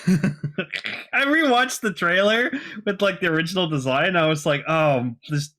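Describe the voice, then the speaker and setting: A man co-hosting a casual pop-culture podcast, talking like an excitable, sweary fan. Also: sits high, unhurried. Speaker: podcast co-host.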